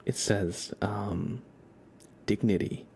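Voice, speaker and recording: Slow, low, hushed ASMR man. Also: a little hiss or hum. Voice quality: soft, hushed, often dropping toward a whisper. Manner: laid-back, gently joking, halting pauses. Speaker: ASMR livestream host. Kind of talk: ASMR, casual chat and tarot reading for viewers.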